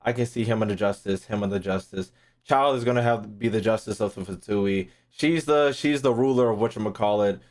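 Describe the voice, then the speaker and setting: A man's voice: on the low side, a little fast. Rambling, foul-mouthed male streamer thinking aloud. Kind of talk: casual livestream chat, thinking aloud.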